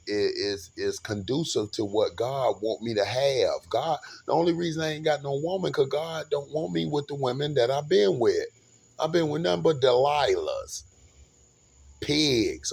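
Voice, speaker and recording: A man's voice: medium pitch, gravelly, a little mellow, slightly rough. A man in his forties talking in a rambling, grandiose monologue, often repeating and restarting his words. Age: 40s. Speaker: vlogger.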